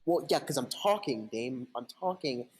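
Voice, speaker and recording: A man's voice: medium pitch, animated, moderate pace, slight background noise. Laid-back guy rambling over a call. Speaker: co-host. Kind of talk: casual chat.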